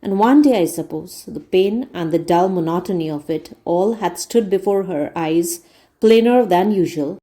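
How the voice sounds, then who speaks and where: A woman reading a classic novel aloud as a storyteller, in measured, deliberate phrasing. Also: on the low side, mellow. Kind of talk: audiobook-style read-aloud narration.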